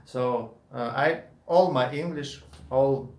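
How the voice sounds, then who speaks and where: A man's voice: mid-range voice, slow pace, darkish tone. A man with a Russian accent, a native Russian speaker, talking casually to viewers as a gamer and searching for his words. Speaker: gamer and YouTuber. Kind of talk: casual vlog monologue. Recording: echoey room.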